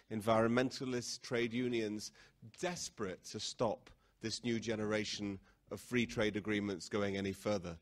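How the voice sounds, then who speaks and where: A man speaking as a panelist in a discussion, arguing his point with wry jokes into a table microphone. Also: medium pitch.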